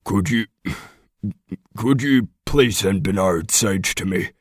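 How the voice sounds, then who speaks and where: A man's voice: lowish voice, slow pace, husky, no room sound, studio quality. A man playing a troubled, determined pack leader in a fantasy audio drama, speaking formally and earnestly with a commanding manner. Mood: guarded, pained.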